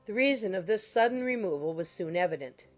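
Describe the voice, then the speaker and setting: A woman's voice: medium pitch, expressive, brisk. A woman giving scripted narration of a period novel as an audiobook narrator. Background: music.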